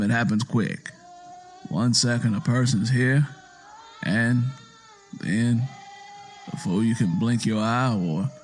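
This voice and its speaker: A man voicing a stern, bossy older Southern woman in an audio drama, urgent, folksy and scolding. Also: fairly low pitch.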